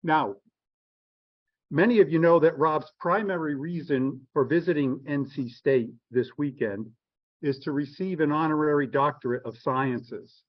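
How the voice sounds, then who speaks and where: A man's voice: on the high side, a little slow. A man hosting an event, giving a formal, earnest introduction of the guest with a few restarts. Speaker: event host introducing the speaker. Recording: dull lo-fi sound.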